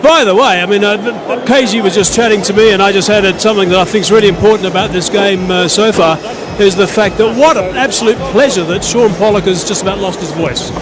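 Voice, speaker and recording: A man's voice: high-pitched, fast talker. A man presenting from the stands, chatting and joking during a live cricket broadcast. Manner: jokey, chatty interviewer. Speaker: pitch-side presenter. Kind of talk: casual live broadcast chat. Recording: broadcast with loud crowd and noise.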